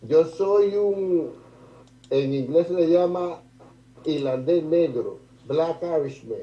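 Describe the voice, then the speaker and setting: A man speaks over a video call from a roomy space, sitting well back from his microphone. His voice is dark and mellow but sits fairly high, and the audio comes through dull and muffled. He makes his case by telling stories from his own life and giving examples. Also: slow pace, far from the mic. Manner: tells personal anecdotes, builds points with examples. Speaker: debate participant.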